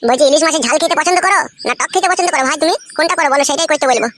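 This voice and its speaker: A man's voice: very high-pitched, very fast, fairly bright tone, a little hiss or hum. A man voicing a generous, inquisitive village householder in a comic cartoon, insistent and chatty. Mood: curious, probing. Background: music.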